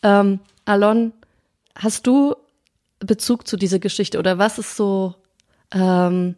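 Woman podcast host, measured, friendly, dry sound, middle pitch. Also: fairly even intonation, a little mellow, no echo. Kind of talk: podcast chat and scripture reading. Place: studio or quiet room, sitting across from the guest.

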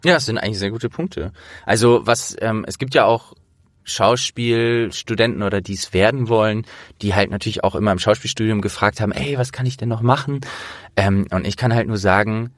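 Low-voiced man explaining casually, close dry sound. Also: a little fast, dry recording. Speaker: interviewer and host.

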